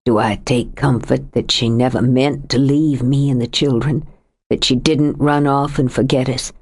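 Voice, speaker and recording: A woman voicing a grieving, stubborn middle-aged widower who speaks low, heavy and bitter in an audiobook. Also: fairly even intonation, moderate pace, darkish tone, breathy edge, full character voice. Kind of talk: audiobook character reading.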